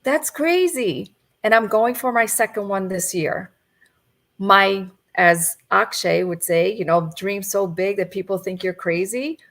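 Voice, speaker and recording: A woman in her fifties, a coach, giving a gentle, reflective motivational talk over a video call. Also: medium pitch, unhurried, gravelly. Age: 50s.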